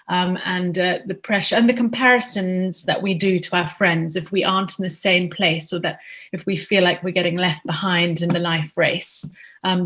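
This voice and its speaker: A woman's voice: fairly low pitch, a bit bright. A woman in her thirties from Zimbabwe, an author answering interview questions in a chatty way with many ums.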